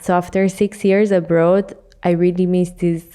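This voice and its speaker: Milanese woman guest, low warm voice. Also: level tone, normal speed, clean tone. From Milan, Italy. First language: Italian. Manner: laughs a lot, light and chatty. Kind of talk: casual interview chat.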